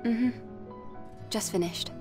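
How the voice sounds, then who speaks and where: An actress playing a resolute young soldier in a video game, reserved and matter-of-fact. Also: female voice, fairly high pitch, slow pace, far from the mic, music in the background. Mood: calm, polite. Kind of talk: video game cutscene dialogue.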